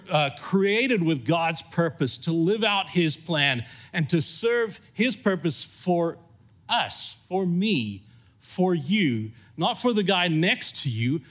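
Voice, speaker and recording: A middle-aged man preaches at a church service, his speaking voice sitting a little high for a man. His accent is a mix of American and southern African. He talks earnestly and from the heart, filling pauses with frequent ums. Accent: mixed American and southern African. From California. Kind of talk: sermon.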